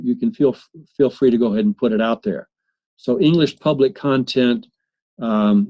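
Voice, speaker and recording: A man presenting a software demo in a webinar, talking haltingly with many fillers and restarts. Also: mid-range voice. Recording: slightly muffled webinar audio.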